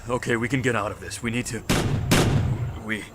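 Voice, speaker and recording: A man playing a shaken, faltering leader in a zombie apocalypse fan audio drama, urgent and halting. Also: fairly low pitch, fairly even intonation, close to an everyday voice. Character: shaken, faltering male leader under pressure. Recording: loud gunfire and battle noise.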